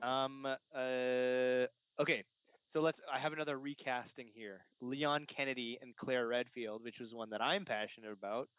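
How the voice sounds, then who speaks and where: A man's voice: mid-range voice, steady tone, normal speed, smooth, no echo. A man hosting a casual film discussion in a jokey way, prone to laughing. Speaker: podcast host. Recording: tinny, dry, band-limited call audio.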